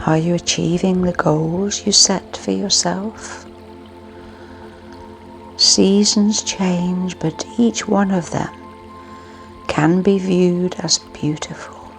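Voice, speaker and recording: A woman narrating a scripted guided sleep meditation in a soothing, hushed manner with long pauses. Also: lowish voice, vocal fry. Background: music.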